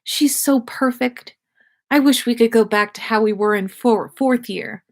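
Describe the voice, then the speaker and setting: A woman voicing a lovesick young woman's wistful, breathy inner thoughts in a story read aloud. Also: on the high side, unhurried.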